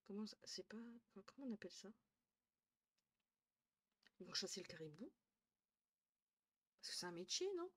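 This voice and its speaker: A woman's voice: mid-range voice, sing-song, moderate pace, pauses often, a bit bright. Tired, chatty young woman streaming at home. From northern France. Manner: chatty, swears casually, thinks aloud, drops to murmurs. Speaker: Twitch streamer. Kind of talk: casual live-stream chat with viewers. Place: small room at home, indoors. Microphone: headset.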